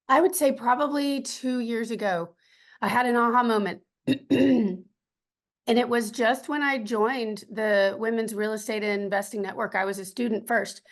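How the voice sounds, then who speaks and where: A middle-aged woman with a raspy voice telling her personal story as an interview guest, earnest and faith-filled, with many ums and some throat clearing. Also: a little high, measured pace. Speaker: podcast guest.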